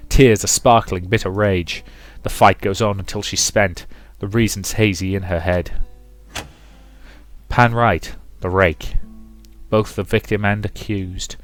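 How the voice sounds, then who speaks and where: A man hosting a let's play, reading a visual novel as dramatic, rhythmic narration with some commentary. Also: sits low. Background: music.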